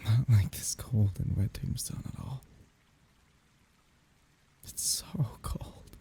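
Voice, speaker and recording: Husky, dark-voiced grieving man acted. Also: in a whisper, full character voice. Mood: grieving, emotional. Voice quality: husky, breathy, gravelly low voice. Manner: wry, tender, halting, half-murmured. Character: grieving man bidding farewell to dead lover. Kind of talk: intimate ASMR roleplay audio drama.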